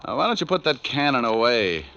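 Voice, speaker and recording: A man's voice: mid-range voice, big pitch swings, fast, sharp tone, a little hiss or hum. A man playing a wisecracking, sardonic New York private detective in a 1940s radio drama, hardboiled and flippant. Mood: cocky, threatening. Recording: muffled old radio broadcast recording.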